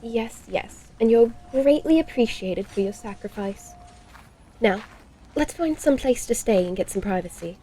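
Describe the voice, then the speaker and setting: Woman playing a wry, mellow-voiced vampire lady. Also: on the high side, animated, unhurried, slight background noise, rough recording. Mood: relieved, brisk. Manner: dry, theatrical, old-fashioned phrasing. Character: wry female vampire traveler, bloodthirsty and grumbling. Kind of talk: audio horror-comedy drama acting.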